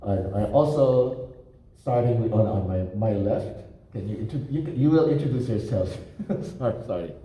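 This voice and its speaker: A man, a violinist, moderating a panel and speaking haltingly, repeating words as he thinks. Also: fairly low pitch, expressive, warm tone. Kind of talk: panel talk. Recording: very echoey hall.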